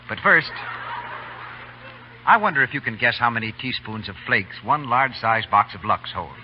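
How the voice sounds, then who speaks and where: Lively American announcer on muffled old radio. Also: male voice, mid-range voice, slight background noise. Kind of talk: 1930s radio announcing and sponsor pitch.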